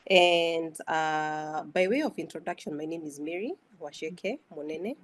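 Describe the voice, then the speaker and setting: A woman's voice: low voice, measured pace. A woman in her thirties with a Kenyan accent, a guest telling her life story in an interview, chatty and full of fillers. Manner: chatty, with many filler sounds and restarts.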